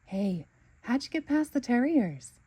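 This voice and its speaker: A woman voicing a calm, kindly girl puppy in a storybook, gently encouraging. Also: fairly high pitch, measured pace, slightly rough, voice pushed up, exaggerated voice. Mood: gentle.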